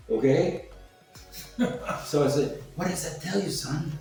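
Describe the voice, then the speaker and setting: A man's voice: a little high, slow, vocal fry, a little mellow. An older man from Cuba tells personal stories in a casual, rambling, salty way, acting out what people said. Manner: rambling, salty, acts out quoted remarks. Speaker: speaker telling personal stories. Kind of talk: casual anecdotal talk. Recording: echoey room, distant mic, faint music.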